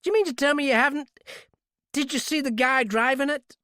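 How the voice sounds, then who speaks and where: A man voicing a nosy, excitable store clerk. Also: very high voice, fairly quick, no echo, clean studio sound, pitched above the performer's own voice. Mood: excited. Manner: prying, excitable. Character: nosy small-town male store clerk.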